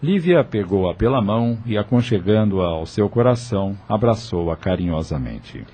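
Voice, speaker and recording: A man's voice: on the low side, big pitch swings, moderate pace, dark tone, slightly rough. A man with a Brazilian accent narrating an audio novel from a script. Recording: faint music under the voice. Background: music.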